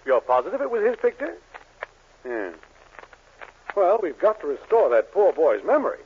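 An actor playing a scheming, greedy shopkeeper in a 1940s radio sitcom, fussy, insistent and sly. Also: male voice, sits high, wildly swooping pitch, moderate pace, a little hiss or hum. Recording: dull 1940s radio broadcast.

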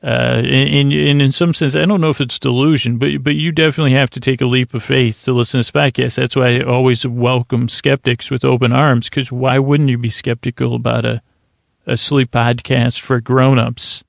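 A man hosting a bedtime podcast, rambling in a halting, meandering, self-deprecating monologue meant to lull listeners to sleep. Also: medium pitch, level tone, vocal fry, no room sound, studio quality. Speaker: podcast host.

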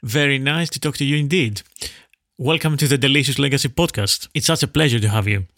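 Guy hosting a podcast, bright forward voice, dry close mic, faint music under, lots of ums. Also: medium pitch, fairly quick, dry recording. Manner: hesitant, many ums and uhs. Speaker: podcast host. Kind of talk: interview conversation.

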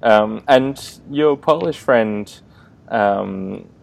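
Aussie host guy, low gravelly voice, laughs a lot. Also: drawn-out words. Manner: friendly, laughs easily, hesitant fillers. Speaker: podcast host, gym owner. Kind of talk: casual interview chat.

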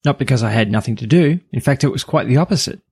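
Gravelly-voiced man hosting a podcast. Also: medium pitch, fast, dry recording, studio quality. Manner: relaxed, reading from a script. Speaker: podcast host. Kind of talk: scripted podcast review.

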